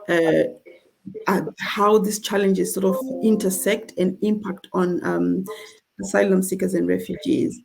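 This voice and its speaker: A middle-aged woman from Zimbabwe leads an online conversation for a charity. Her voice is low and mellow with a gravelly edge. She speaks earnestly, with many fillers and restarts. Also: poor audio. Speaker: charity representative and host.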